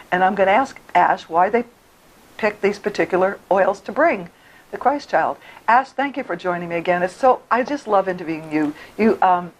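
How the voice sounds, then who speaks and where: A woman hosting an interview show, hoarse today, chatty and quick to laugh. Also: medium pitch, a little hiss or hum, low-quality recording. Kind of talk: casual interview.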